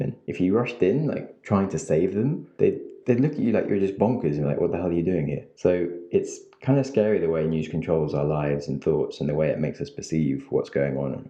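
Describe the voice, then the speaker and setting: Very deep-voiced man, echoey. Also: dark tone. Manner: casual, blunt, swears lightly. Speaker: podcast host. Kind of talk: solo recorded outro reflection. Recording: dull echoey room.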